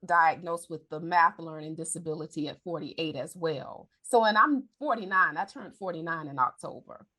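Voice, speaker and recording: A woman's voice: low-pitched, moderate pace, few pauses, pushed and bright. A woman in her forties hosting a podcast, talking candidly in a chatty way and correcting herself as she goes. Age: 40s. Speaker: podcast host. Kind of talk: casual conversation.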